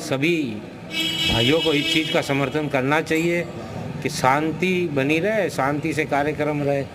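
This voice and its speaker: A local man giving his opinion slowly in a news interview. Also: a little high, animated. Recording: very noisy street with vehicles.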